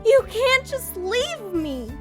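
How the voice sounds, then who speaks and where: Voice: very high-pitched, sing-song, slow, a bit bright, soft background music, full character voice. A performer playing a sad, clingy young child in a family audio drama. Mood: upset, sulky.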